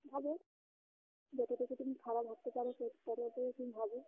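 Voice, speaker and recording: A young woman arguing on a phone call, indignant and accusing, her voice often jumping high. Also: flat delivery, a little fast, no echo. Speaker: caller in a phone argument. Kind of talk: heated phone argument. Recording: tinny phone call recording.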